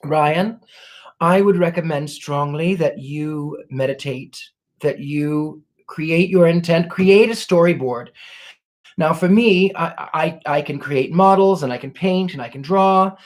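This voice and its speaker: A man hosting a casual livestream, answering viewer questions in a courtly, gracious way, thanking people warmly and pausing to restart his sentences as he searches for words. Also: on the high side. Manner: reflective, many restarts while searching for words. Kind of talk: casual live Q&A chat.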